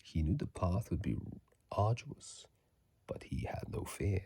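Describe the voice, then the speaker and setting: A man whispering softly and closely into a phone mic in an unhurried ASMR style, reading and chatting casually about a video game. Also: deep voice, sing-song, slight background noise.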